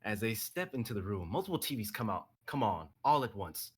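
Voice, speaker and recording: A man's voice: lowish voice, very animated, brisk, slightly rough. A young man on a live stream, reading his own dramatic script and chatting casually about it. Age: young adult.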